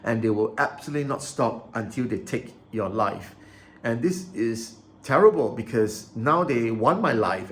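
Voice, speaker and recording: A man's voice: medium pitch, slight background noise. A man ranting into the camera about his grievances, agitated, repeating himself and stumbling over restarts. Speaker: vlogger. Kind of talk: aggrieved personal rant to camera.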